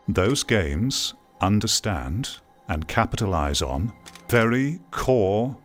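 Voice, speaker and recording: A man giving opinionated video game commentary in an emphatic, probing manner, often posing rhetorical questions and repeating them for effect. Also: low voice.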